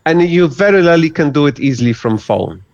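Man, halting and dry. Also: a little high, animated, vocal fry, a little mellow, no echo, muffled recording. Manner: halting, many repeated words and restarts. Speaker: guest. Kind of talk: podcast interview, explaining.

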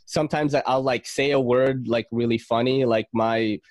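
A man hosting a podcast, talking in a relaxed, rambling way with many fillers and casual swearing. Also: mid-range voice, moderate pace, no echo, studio quality. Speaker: podcast host.

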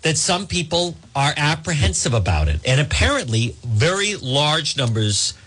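A man delivers opinionated political commentary as a talk show host. His voice sits a little high and moves around a lot in pitch. He talks in halting, repetitive bursts, circling back on his points as he addresses his listeners. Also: big pitch swings, fairly bright tone, husky, low-quality recording.

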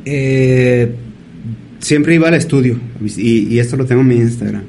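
A man, a painter as guest, telling stories in a thoughtful, halting way. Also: medium pitch, creaky, mellow, a little hiss or hum. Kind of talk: casual interview storytelling.

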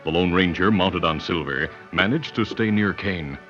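A man's voice: deep voice, moderate pace. A man giving formal, dramatic scripted narration as an old-time radio announcer. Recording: dull vintage radio recording, loud music.

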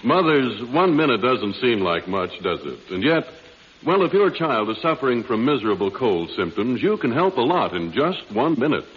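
A man's voice: medium pitch, sing-song, moderate pace, slightly rough. A man announcing and reading advertisements in 1940s radio style, with a polished, folksy sales delivery. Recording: muffled 1940s radio recording.

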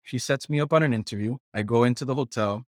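A man's voice: mid-range voice, fast, dry recording, studio quality. A man from Puerto Rico, a chef, casually telling stories as an interview guest and often voicing quoted remarks as he goes. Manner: conversational, quotes himself and others while recounting. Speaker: guest, chef and culinary director.

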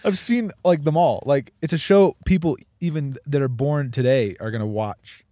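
A man's voice: on the high side, moderate pace, mellow, no echo, studio quality. A man in his twenties co-hosting a casual podcast from a parked car, laughing often and tripping over his words. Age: 20s. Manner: giggly, trips over words. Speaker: podcast co-host.

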